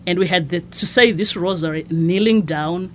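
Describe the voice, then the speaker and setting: Soft-spoken woman from Zimbabwe, a nun. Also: on the low side, moderate pace, slight background noise. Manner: soft-spoken, hesitant with many fillers and restarts. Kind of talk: conversational interview.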